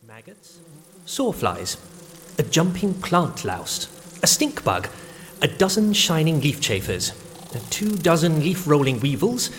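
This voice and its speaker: A man's voice: a little high, in a large hall, a little hiss or hum. A man playing a methodical, eccentric insect enthusiast in an audio drama comedy.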